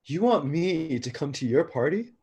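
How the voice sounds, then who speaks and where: A man playing a stressed, awkward, lovestruck young god in a comic staged reading, halting, flustered and touchy. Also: on the high side, very animated, dark tone, husky, full character voice.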